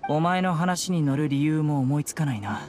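A man voicing a stern young enforcer in an anime game, terse, measured and serious. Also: on the high side, darkish tone, close to an everyday voice. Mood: cold, dismissive. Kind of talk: Japanese anime game voice acting. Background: background music with guitar.

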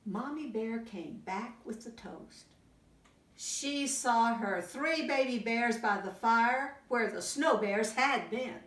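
Older woman for library story time, slow and expressive, heard from a distance in a roomy space. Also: a little high. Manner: expressive, pausing to ask young listeners questions. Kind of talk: animated children's picture book read-aloud. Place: library children's room, indoors. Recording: roomy, distant camera sound.

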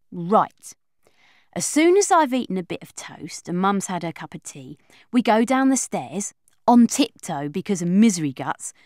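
Lively woman reading a chatty girl's diary. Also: medium pitch, no room sound, studio quality. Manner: chatty, confiding, lively schoolgirl voice. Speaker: audiobook narrator. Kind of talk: first-person storybook reading as a young girl.

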